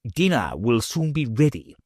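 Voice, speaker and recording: A man's voice: mid-range voice, big pitch swings, measured pace, a little mellow, no echo, studio quality. A man reading an audiobook, voicing a crafty official in a musing, soothing, sly manner. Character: smooth crafty middle-aged male official.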